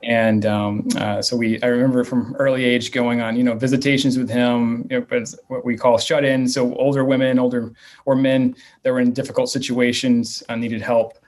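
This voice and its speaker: A man's voice: mid-range voice, little pitch movement, normal speed, a little mellow. A man in his thirties from the Virginia area, answering interview questions in a hesitant way with many fillers and restarts. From Virginia / West Virginia area. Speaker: interviewee, nonprofit leader.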